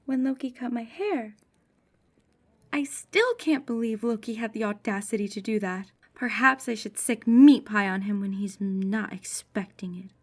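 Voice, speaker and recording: Breathy, sharp-tongued goddess, fairly high woman acting. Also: a little slow. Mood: indignant. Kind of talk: ASMR roleplay audio drama.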